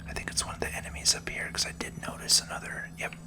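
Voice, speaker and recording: A person whispering soft, unhurried ASMR commentary while playing a video game, thinking aloud along the way. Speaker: gaming video host. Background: video game music.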